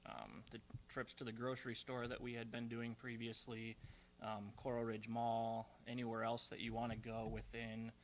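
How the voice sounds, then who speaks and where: A man's voice: medium pitch, normal speed. A young man, speaking plainly with many ums as he talks about his work as transportation manager to a room of residents. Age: young adult. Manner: flat, plain delivery with frequent ums. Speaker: transportation manager giving a talk. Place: community room at a retirement residence.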